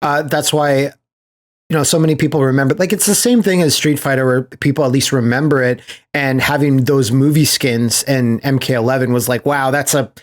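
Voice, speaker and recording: A man's voice: medium pitch, fairly even intonation, a little fast. A man chatting casually as a podcast host, enthusiastic and rambling in a slangy way. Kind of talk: casual podcast chat about movies.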